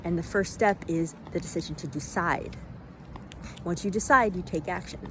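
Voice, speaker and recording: A woman giving an unscripted motivational monologue in a reflective way, in halting phrases with deliberate pauses. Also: fairly low pitch. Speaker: vlogger giving self-help reflections. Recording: noisy, vehicle-like ambient sound.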